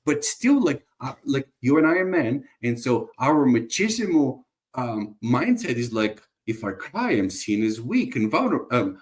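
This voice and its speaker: A man originally from Bulgaria talks as a guest on a podcast. He is reflective and earnest, often restarting his sentences as he works toward his point. His voice sits at an ordinary middle pitch. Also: sing-song, normal speed, hardly any pauses. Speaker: guest entrepreneur. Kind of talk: casual conversational interview.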